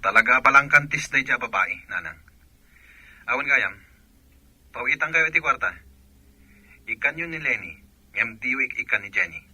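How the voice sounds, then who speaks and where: A man playing a husky, jealous soldier husband. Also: mid-range voice, expressive, moderate pace, pauses often, pushed and bright, no echo, exaggerated voice, rough recording. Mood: chatty.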